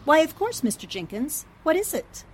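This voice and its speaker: Actress as a confident, higher-voiced lady sleuth. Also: very animated, slight background noise. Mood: confident, deducing. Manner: brisk, assured, emphatic. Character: confident, shrewd female newspaper sleuth. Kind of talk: 1940s radio drama acting.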